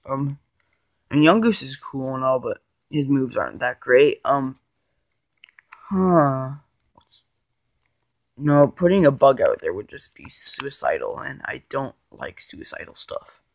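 A man with a cold and a congested voice, rambling casually with lots of ums and excited bursts as he plays and narrates a handheld game. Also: medium pitch. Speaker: gaming channel host. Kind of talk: casual let's play commentary.

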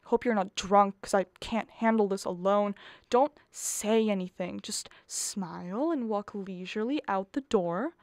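Actress as frazzled middle-aged mother, radio play, pleading, exasperated, mid-pitched, close dry sound. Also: unhurried, darkish tone, no room sound, close to an everyday voice. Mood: urgent. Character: nervous, harassed middle-aged mother of the bride.

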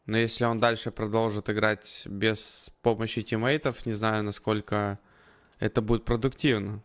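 A man's voice: fairly low pitch, flat delivery, normal speed, clean tone. A man casting a shooter match live, conversational and reactive to the action as he analyses it. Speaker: esports match commentator. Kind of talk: live Counter-Strike commentary with casual analysis. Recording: game gunfire and noise behind. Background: gunshots and game ambience.

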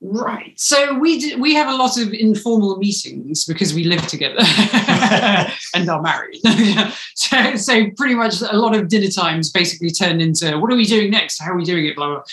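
A woman in her thirties giving a casual interview in a chatty, animated storytelling way. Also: mid-range voice, normal speed. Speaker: podcast writer and director being interviewed. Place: small room at home, indoors. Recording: roomy, echoey home recording.

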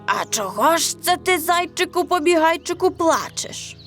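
A woman's voice: extremely high pitch, expressive, moderate pace, fairly bright tone, soft background music, voice pushed up. A woman voicing a bold but cowardly male wolf with emphatic delivery in a children's folk tale. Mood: sympathetic.

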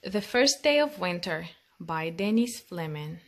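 A woman's voice: fairly low pitch, lively intonation, a little slow. A woman reading a picture book aloud for children in a sing-song way, with chatty asides to young listeners. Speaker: children's storytime host.

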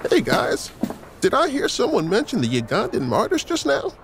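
An actor playing a kindly, upbeat church class teacher in an audio drama, friendly and encouraging. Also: male voice, very high-pitched, wildly swooping pitch, moderate pace, vocal fry, a little hiss or hum, full character voice.

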